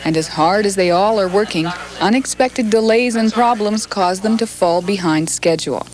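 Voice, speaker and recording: A woman narrating a documentary in a calm, measured, scripted way. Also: medium pitch, poor audio. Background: music.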